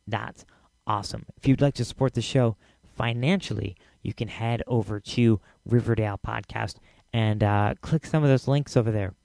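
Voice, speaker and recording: Man, podcast host, hoarse and scratchy, lowish voice, casual filler-heavy talk. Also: moderate pace, a little mellow, no echo. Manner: casual, many "uh" fillers, repeats himself. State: losing his voice, scratchy.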